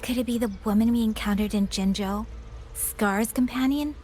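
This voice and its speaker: A woman as an earnest, higher-voiced younger sister. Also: moderate pace, mellow, close to an everyday voice. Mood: serious. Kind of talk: video game voice acting. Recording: music behind the voice.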